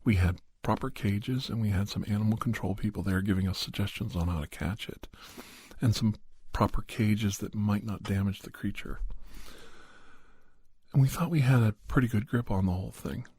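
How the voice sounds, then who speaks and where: A man narrating a first-person horror story in an ASMR style, softly and in halting, unhurried short phrases with casual asides. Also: on the low side, slightly rough, no echo. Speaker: podcast host and storyteller.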